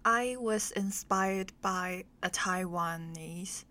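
Woman podcaster, native Cantonese speaker, unhurried and bright-toned, soft and hesitant English chat. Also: fairly low pitch. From Hong Kong or Cantonese-speaking region. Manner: soft-spoken, hesitant, often trails into a whisper. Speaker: podcast host, guest in this chat. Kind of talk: casual chat.

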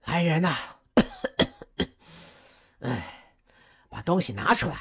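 A performer voicing a shrewd male strategist who stays calm and soothing. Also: mid-range voice, extremely slow, vocal fry, heavily acted.

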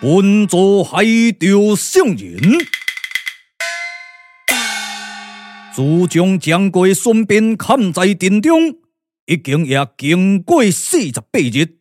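An imperious old Taoist villain declaims in a Taiwanese accent for a puppet-theatre radio play. The delivery is pompous and drawn out, with dramatic swings in pitch over faint music. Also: male voice, sing-song, takes it slowly, exaggerated voice. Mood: haughty, brooding. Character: imperious, vengeful ancient male Taoist sage, villainous.

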